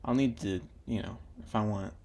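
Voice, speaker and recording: A man's voice: lowish voice, moderate pace, gravelly, darkish tone. A young man talking casually while drawing for his own video channel, meandering with many fillers and restarts. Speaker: drawing YouTuber.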